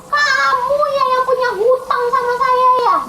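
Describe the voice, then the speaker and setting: A woman's voice: very high voice, little pitch movement, measured pace, bright, forceful tone, roomy sound, a little hiss or hum, voice pushed up, full character voice. A woman storyteller acting out a rich king who speaks emphatically to his servant. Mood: stern, demanding. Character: rich, imposing but merciful king of a children's story.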